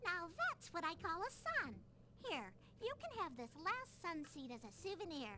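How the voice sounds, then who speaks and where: A woman's voice: very high-pitched, very animated, normal speed, music in the background. A woman voicing a cheerful female game character who explains tasks to the player, heard through the game's audio. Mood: explaining. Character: friendly female game character asking for help.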